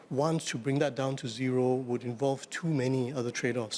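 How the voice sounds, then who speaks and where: A soft-spoken man, an opposition member of parliament, answering in a measured, hesitant way. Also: medium pitch, slight background noise. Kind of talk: parliamentary debate response. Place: parliament chamber.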